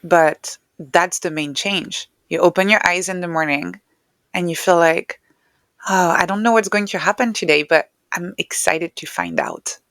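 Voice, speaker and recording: A woman with a slightly low voice talks as an interview guest. She explains her thoughts in a reflective, conversational style, recorded dry with very little room sound. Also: animated, measured pace, a bit bright, dry recording. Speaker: interview guest, neuroscientist and author.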